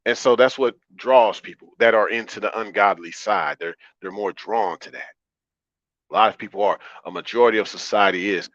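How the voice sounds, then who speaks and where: Deep baritone man in a roomy, dull recording. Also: normal speed, vocal fry. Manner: calm, relaxed, repeats phrases for emphasis. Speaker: livestream host. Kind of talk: conversational livestream monologue, answering chat. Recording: roomy, slightly dull lo-fi audio.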